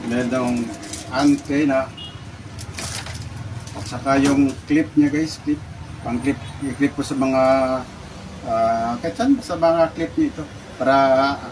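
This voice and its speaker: A Filipino man giving a casual, halting unboxing talk to his viewers, often repeating his words. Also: medium pitch. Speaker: vlogger presenting a product unboxing. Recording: distant mic, clearly noisy background with birds.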